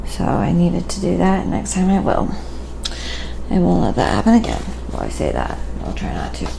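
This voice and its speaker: A woman hosting a casual ASMR painting livestream from home, chatting informally in a soft-spoken way over background noise. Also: on the low side. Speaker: live-stream host and painter. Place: at home in an apartment. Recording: clearly audible background noise.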